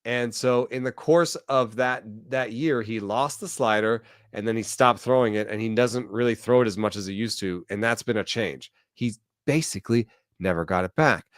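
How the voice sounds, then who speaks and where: A man talking pitching stats in a measured, analytical casual chat on a podcast. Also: no room sound, clean studio sound. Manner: measured, analytical, stat-focused.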